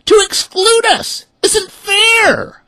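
A woman voicing a flock of pushy, indignant hens in a rhymed story, in a shrill, very high pleading voice. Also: drawn-out words, sharp tone, no room sound, full character voice.

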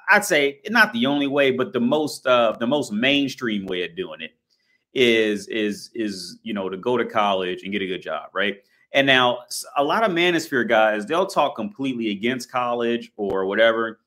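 A man's voice: medium pitch, fairly bright tone. An American man in his thirties talking to camera in a rambling, blunt monologue, full of restarts and fillers and casual swearing. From United States. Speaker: vlogger.

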